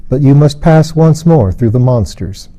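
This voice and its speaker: A wise, kindly lady of a fairy tale, read by a man, serene and solemn. The tone is very soft and dark, and the phrasing is calm and poetic. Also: medium pitch, normal speed, pitched above the performer's own voice, close to an everyday voice. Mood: calm, assured.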